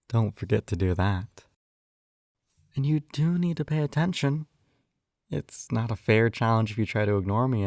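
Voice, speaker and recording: A man playing a possessive, coaxing hypnotist captor in an intimate ASMR roleplay, with a soft velvety voice and teasing manner. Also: lowish voice, no echo, studio quality. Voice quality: soft, velvety, breathy whispers at times. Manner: slow coaxing, teasing tag questions.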